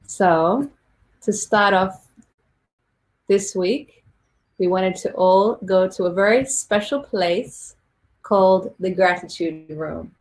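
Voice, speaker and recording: Aussie woman guiding a meditation, soft and slow, calm pauses. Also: medium pitch, poor audio. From Australia. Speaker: singer and meditation guide. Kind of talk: guided meditation.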